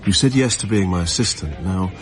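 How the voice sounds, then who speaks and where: A man's voice: deep voice, expressive, a little fast. An actor playing a sly, condescending male schemer in a radio drama, casual and manipulative in manner. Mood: slyly persuasive. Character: smooth, condescending male schemer, slyly persuasive.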